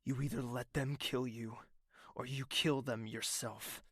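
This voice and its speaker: Male actor as proud wounded villain prince, sharp bright edge, sardonic, theatrical, dry close sound, fantasy audio drama. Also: medium pitch, steady tone, measured pace, no echo. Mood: cold, defiant. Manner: theatrical, sardonic, swinging from hushed to explosive. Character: embittered crown prince, proud self-confessed villain, wounded and defiant.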